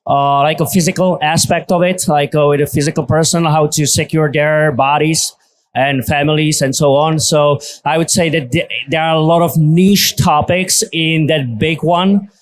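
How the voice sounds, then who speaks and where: Panelist, man, slightly high voice, hesitant unscripted delivery. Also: fairly even intonation. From Czech Republic. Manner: halting, many fillers and restarts. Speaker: panelist, relocation consultant. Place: conference stage.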